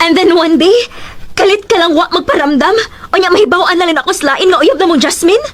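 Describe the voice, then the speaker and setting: A woman's voice: very high voice, brisk. An actress with a Cebuano accent playing an emotional, lovesick young woman in a radio drama. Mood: hurt, angry. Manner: emotional, expressive. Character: Sylvia, hurt, lovesick young woman in love with her best friend.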